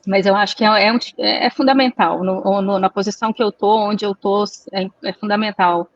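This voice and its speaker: A woman's voice: mid-range voice, steady tone. Reflective woman. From Minas Gerais, Brazil. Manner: measured, reflective, searching for words. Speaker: guest, chamber of commerce executive. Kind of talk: interview answers. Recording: dry, dull recording.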